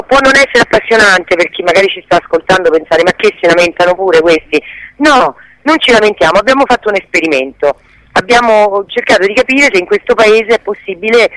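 A woman's voice: medium pitch, fairly bright tone, dry recording, rough recording. A woman speaking as a radio interview guest, explaining conversationally with hesitations and self-corrections. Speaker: interview guest, actress and association president.

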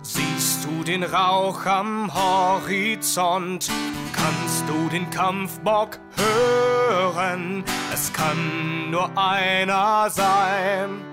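Slow, ominous female bard over loud music, acted out. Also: on the low side. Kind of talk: dramatic spoken and sung ballad. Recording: loud country guitar music behind.

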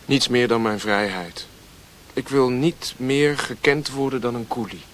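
A man's voice: medium pitch, a little slow, fairly bright tone, a little hiss or hum, close to an everyday voice. A man playing a guarded, disillusioned Western radio operator in a radio play, restrained and serious. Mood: resolute.

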